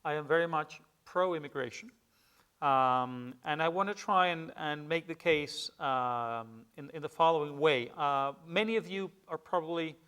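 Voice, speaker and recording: A middle-aged man from Peru, a native Spanish speaker, giving a public lecture in a measured, hesitant way with many fillers and restarts. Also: fairly high pitch, fairly bright tone, smooth. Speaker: guest lecturer. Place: lecture hall with an audience.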